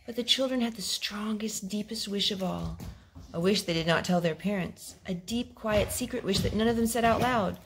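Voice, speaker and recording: A woman with a slightly gravelly, mid-pitched voice hosts storytime. She reads a picture book expressively and gently, pitched at young children. It is a home recording with clear background noise. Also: normal speed. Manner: expressive, gentle talk aimed at small children. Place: home living room with wooden floor.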